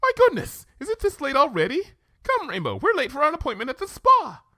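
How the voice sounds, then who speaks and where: A man's voice: extremely high pitch, huge pitch swings, a little fast, pushed and bright, no echo, studio quality, voice pushed up, exaggerated voice. Man doing a haughty, swooping lady unicorn. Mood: flustered, insistent. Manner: theatrical, formal, affected. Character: refined, haughty female unicorn, dramatic, cartoon.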